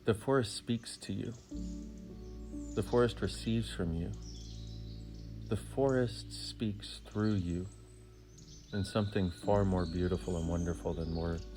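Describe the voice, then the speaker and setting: A man with a low voice guides a meditation. He speaks soothingly and deliberately, breaking his sentences into short phrases with long pauses. Music plays behind him along with birdsong.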